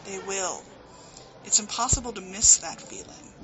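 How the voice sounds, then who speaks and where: A woman's voice: medium pitch, big pitch swings, normal speed, noisy background, close to an everyday voice. A woman playing a devoted, steadfast comrade of an island leader in an audio drama, earnest and assured. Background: music.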